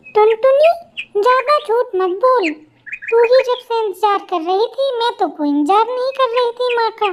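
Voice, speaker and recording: Woman as lazy big-sister bird, cartoon, irritable, dismissive, very high pitch, faint background noise. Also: lively intonation, measured pace. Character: lazy, snappy older sister girl bird.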